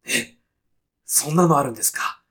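A man acts out a straitlaced, timid salaryman in a comedy radio play. His voice is fairly high for a man and clearly bright. He speaks with careful politeness, holding back and hesitating as he goes. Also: normal speed. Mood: uneasy. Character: timid, straitlaced salaryman newly arrived in heaven. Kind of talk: deadpan comic radio sketch acting.

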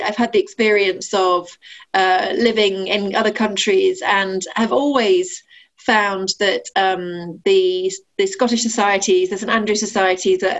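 A woman, answering interview questions in a friendly, conversational way with many fillers and restarts. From Sheffield, England. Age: 40s. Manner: friendly, frequent fillers and restarts, laughs easily. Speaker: ambassador, interview guest. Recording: muffled, low-fidelity audio.

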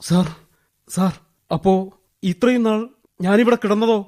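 A high, anguished male voice for a radio drama. He is a wronged former prisoner, embittered and heavy-hearted, and he tells his story haltingly with raw emotion. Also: darkish tone. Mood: hurt, pleading. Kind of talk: radio drama acting, dramatic first-person narration.

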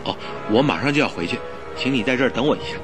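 An actor playing an earnest, courteous doctor in a radio drama, speaking politely and with concern. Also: male voice, medium pitch, animated, very fast, over loud music, close to an everyday voice. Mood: urgent. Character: earnest, courteous male doctor, detective's worried friend. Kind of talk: Mandarin radio drama acting.

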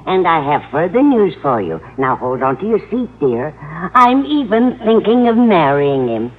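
A woman's voice: on the low side, big pitch swings, normal speed. An actress playing a dotty, smitten older landlady in a radio sitcom, gushing fondly and stubbornly with dramatic emphasis. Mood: proud, excited. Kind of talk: 1950s radio sitcom acting. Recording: muffled, noisy old radio recording.

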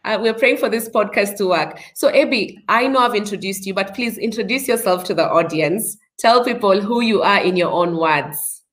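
A woman's voice: medium pitch, moderate pace, few pauses. A Kenyan woman hosting a podcast interview over a video call, with upbeat, speech-like introductions. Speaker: podcast host. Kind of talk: live online interview.

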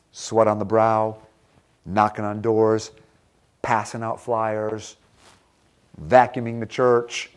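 Guy preaching, kinda low voice, earnest, says stuff twice to hammer it home. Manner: earnest, emphatic, repeats words for stress. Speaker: pastor. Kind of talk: sermon with closing prayer.

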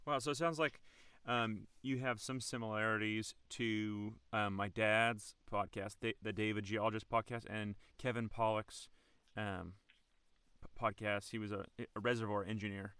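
A man's voice: lowish voice, fairly even intonation, moderate pace, bright, forceful tone, smooth, no echo. A man hosting a podcast, chatting casually and rambling with lots of "like" and "um". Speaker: podcast host. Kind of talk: casual chat.

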